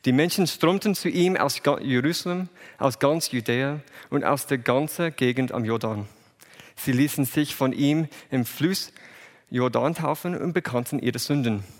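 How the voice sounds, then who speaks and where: A man who grew up in England and the USA, with English as his first language, reads scripture and preaches in German with an English accent, pausing often and restarting words. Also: medium pitch, long reverb tail. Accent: English-speaking. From England, raised in USA. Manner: halting non-native German with restarts. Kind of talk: bible reading and sermon.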